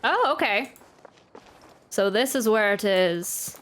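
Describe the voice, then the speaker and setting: A woman's voice: medium pitch, expressive, takes it slowly, fairly bright tone. A woman giving casual gameplay commentary, chatty and rambling, often interrupting herself mid-sentence. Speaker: streamer reacting to a video game.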